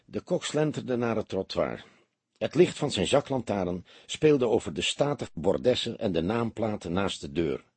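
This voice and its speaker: Very husky male audiobook narrator. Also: on the low side, lively intonation, moderate pace, darkish tone, dry recording. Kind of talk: scripted literary narration.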